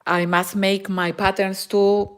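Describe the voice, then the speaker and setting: A Peruvian woman with a Peruvian Spanish accent, hosting a live crochet tutorial in slow, halting English, often switching into Spanish. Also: mid-range voice, little pitch movement, clear, resonant tone. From Peru. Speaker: crochet channel host. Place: home in Germany.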